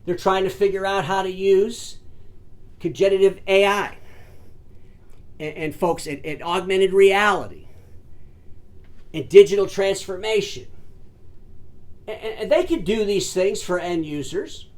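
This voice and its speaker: A man hosting his own industry commentary show, talking straight to his audience in an emphatic way, calling them folks and ladies and gentlemen, often repeating words and restarting sentences. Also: high-pitched, a little slow, long pauses. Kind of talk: solo commentary to camera.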